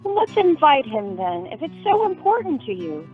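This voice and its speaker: Radio play ingénue, actress: high, very animated voice, insistent, pleading young sweetheart, dull lo-fi sound. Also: female voice, moderate pace. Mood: coaxing. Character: earnest, pleading young woman, a troubled fiancée. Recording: muffled lo-fi audio with music behind.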